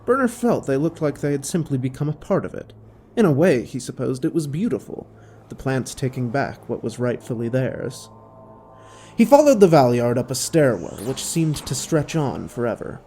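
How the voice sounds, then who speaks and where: Mellow man narrating with very lively pitch. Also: moderate pace, slight background noise. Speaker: narrator of an audio drama. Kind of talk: scripted story narration.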